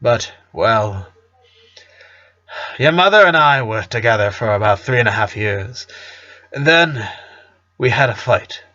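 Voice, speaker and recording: An easygoing middle-aged dad acted by a male reader from a storybook, chatty and teasing as he explains things. His voice is mid-pitched, on a slightly muffled recording. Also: expressive, measured pace, long pauses, bright, forceful tone. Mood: easygoing, reminiscing.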